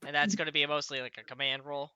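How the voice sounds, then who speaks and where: A man running a tabletop game as game master, explaining things casually and haltingly. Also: a little high, level tone, sharp tone, smooth, dull audio.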